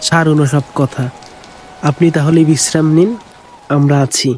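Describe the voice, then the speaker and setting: A man voicing a kind, poor farmer who is a father and speaks gently and with care. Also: a little high, steady tone, moderate pace, slight background noise, close to an everyday voice. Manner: gentle, caring.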